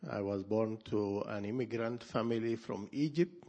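A man from Sudan introducing himself on a church panel in a measured, plain-spoken way. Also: on the low side, warm tone.

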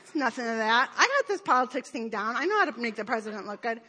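A woman in her forties preaches in an easy, conversational way, acting out her quoted thoughts with playful self-mockery. Her voice sits high and sounds bright and clear. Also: very animated, fast, some chatter behind. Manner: chatty and self-deprecating, with playful put-on quotes. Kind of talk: conversational sermon.

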